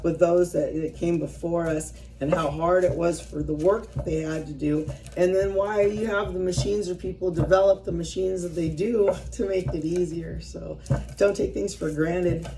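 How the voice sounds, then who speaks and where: A woman's voice: on the low side, steady tone, normal speed, mellow, far from the mic, rough recording. A woman in her fifties chatting casually to her viewers as she works, rambling and self-deprecating, often trailing off mid-thought. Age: 50s. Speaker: vlogger. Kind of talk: casual chat while working by hand.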